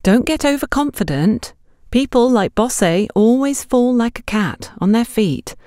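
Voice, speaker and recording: A woman's voice: on the high side, animated, a little slow, mellow, no room sound, studio quality, pitched above the performer's own voice. A woman voicing an earnest young orphan salesgirl in an audiobook, honest, anxious and defensive.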